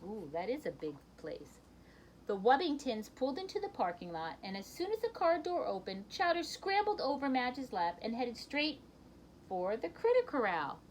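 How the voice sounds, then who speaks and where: A woman reading a children's picture book aloud in an expressive storytelling manner, with brief asides to her listeners. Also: fairly high pitch, moderate pace, slight background noise, low-quality recording.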